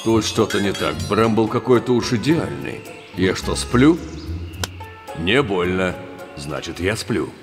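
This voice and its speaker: An actor voicing a big, suspicious male bear, a tough forest guardian in a cartoon dub, gruff and emphatic. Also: on the low side, expressive, slow, music in the background. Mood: puzzled, suspicious.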